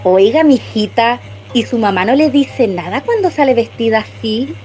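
Woman playing a scolding, animated Chilean neighborhood busybody. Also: fairly high pitch, normal speed, slight background noise, lightly acted. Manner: indignant, reproachful. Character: scolding, prudish, gossipy neighborhood mother. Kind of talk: radio drama acting.

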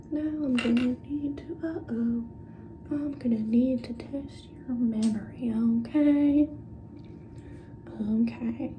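Soft, slow-talking woman doing ASMR. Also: sits high. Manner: soft-spoken, often dropping into whispers; playfully instructing the listener in short directions. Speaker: ASMR roleplayer playing a clinical examiner. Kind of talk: ASMR roleplay. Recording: dull lo-fi audio with clear background noise.

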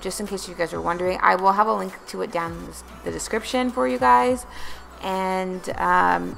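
A woman's voice: fairly low pitch, creaky, a bit bright, a little hiss or hum. A young woman vlogger talking casually and encouragingly in a voice-over about cleaning her home, with many fillers. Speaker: lifestyle vlogger.

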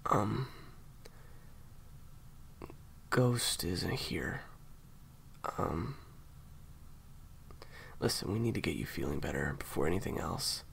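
A man acting in an intimate audio roleplay as a caring, awkward handler who speaks softly and haltingly to an injured partner. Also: low-pitched, vocal fry, slight background noise, full character voice. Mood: soothing, hesitant. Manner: hesitant, halting, soft-spoken. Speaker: voice actor.

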